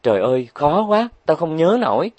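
A man voicing a brash, boastful young woman who is rich and bossy, talking loudly and bragging. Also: medium pitch, lively intonation, fairly quick, no echo, lo-fi sound, natural voice. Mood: whiny.

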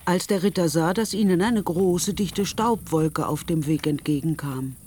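A man's voice: on the high side, a little slow, darkish tone, slight background noise, close to an everyday voice. A man as the storyteller of a German radio play, narrating a knight's adventures in literary, scripted style.

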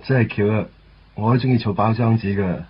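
A man's voice: fairly low pitch, quick pace, a little hiss or hum, natural voice. An actor playing a chatty, goofy young male editor in a Hong Kong Cantonese radio drama, rambling eagerly. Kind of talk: 1990s radio drama acting. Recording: dull lo-fi radio recording, faint music.

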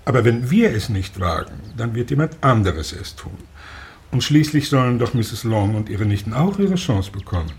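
An actor playing a middle-aged husband and father in a period radio drama, dry, sardonic and measured, with a husky voice. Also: medium pitch, very animated, a little mellow, exaggerated voice. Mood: deadpan sly.

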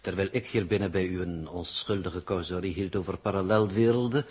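A man in a radio drama playing a cold, uncannily calm coordinator with a pedantic, formal, condescending manner. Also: sits low, a little fast, slightly rough. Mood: cool, condescending. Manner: pedantic, formal, coolly superior. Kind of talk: 1960s radio drama acting.

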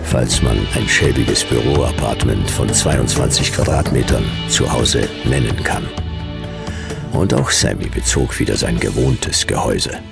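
Tired old private eye, super low creaky voice, dry short lines, narrating a radio crime drama. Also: male voice, a little slow, over loud music, exaggerated voice. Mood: weary. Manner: laconic, deadpan. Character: world-weary ageing male private detective, laconic and dry. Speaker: narrator and lead character.

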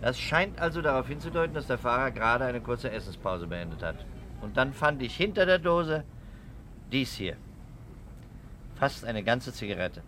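An actor playing a measured, sceptical fire investigator in a 1960s German radio crime drama, speaking with deliberate understatement. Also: male voice, mid-range voice, very animated, fairly bright tone, slight background noise.

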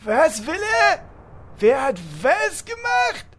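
Very high-pitched, exasperated party leader. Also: sing-song, slow pace, full character voice. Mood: disgusted. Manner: shouting, indignant, theatrical. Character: exasperated, bossy male party leader addressed as sire.

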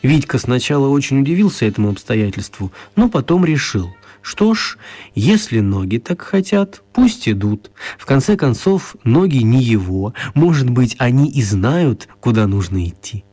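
A man narrating a children's story for radio in an expressive storytelling style. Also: medium pitch, normal speed, soft background music.

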